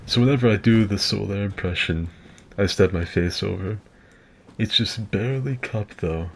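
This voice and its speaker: A man streaming and chatting casually, rambling and self-deprecating, with a gruff voice. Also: lowish voice, moderate pace, a little hiss or hum. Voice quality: gruff, gravelly. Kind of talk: casual chat with viewers.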